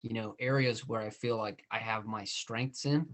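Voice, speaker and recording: A man's voice: lowish voice, fairly even intonation, normal speed, fairly bright tone. A man hosting a podcast, chatting casually with lots of "you know" and "I mean". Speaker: podcast host. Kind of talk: casual interview chat.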